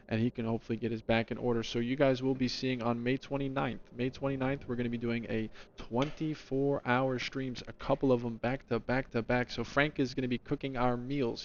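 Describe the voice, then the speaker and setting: Chatty streamer man, slightly dull mic. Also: medium pitch. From New Jersey, US. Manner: rambling, chatty, talks to chat by name. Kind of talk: casual stream chat in a lemon persona.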